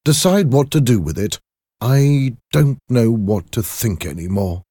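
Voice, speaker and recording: A man voicing an anxious, secretive gentleman doctor in a storybook, speaking emphatically and earnestly. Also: mid-range voice, very animated, unhurried, no room sound, studio quality, voice pushed up. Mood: uneasy, earnest. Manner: emphatic, earnest, agitated delivery. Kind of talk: audiobook character acting.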